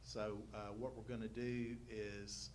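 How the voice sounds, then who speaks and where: A man walks a meeting through repair plans, pausing often on ums and uhs. His voice is lowish and level, with little rise and fall. It is heard over a noisy live-stream feed. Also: level tone, darkish tone. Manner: plain, halting with many ums and uhs. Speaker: architect presenting building repairs. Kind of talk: explaining technical plans at a public meeting. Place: city council meeting room.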